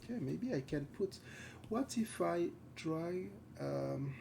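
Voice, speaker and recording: Slow, gravelly French-accented man streaming. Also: on the high side, lively intonation, warm tone, slight background noise. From France. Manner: halting, searching for words, many fillers; thinking aloud while painting. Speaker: concept art streamer. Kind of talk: live art stream chat.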